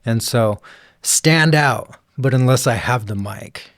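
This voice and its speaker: A man with a quiet voice, telling stories in a relaxed, rambling way as a guest in an interview. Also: mid-range voice, slow pace, no echo, clean studio sound. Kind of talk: casual interview conversation.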